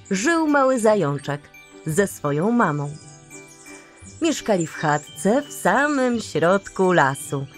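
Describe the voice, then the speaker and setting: A woman narrating a children's storybook in a calm, gentle way. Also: mid-range voice. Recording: soft music underneath.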